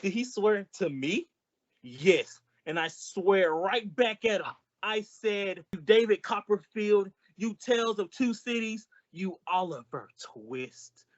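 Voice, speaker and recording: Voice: medium pitch, lively intonation, slow pace, lo-fi sound. A performer playing a bumbling, easily confused male sidekick in an old-time radio comedy, full of wisecracking retorts.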